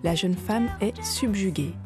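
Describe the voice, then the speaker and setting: A woman giving measured, scripted narration for a radio documentary. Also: medium pitch. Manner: measured, clear storytelling delivery. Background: music.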